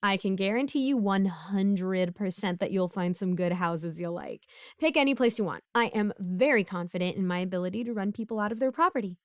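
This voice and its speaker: A woman's voice: medium pitch, lively intonation, brisk, no echo, clean studio sound, lightly acted. A woman playing a broke, bumbling, chatty supervillain neighbor in a casual audio roleplay, rambling awkwardly as she pleads and justifies herself. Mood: sheepish, chatty.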